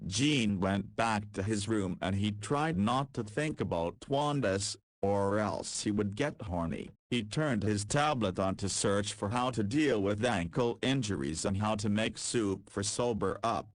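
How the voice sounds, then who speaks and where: A man reads scripted story narration in a measured, even way. His voice is low and loud in the mix. The recording is dry and close. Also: dry recording.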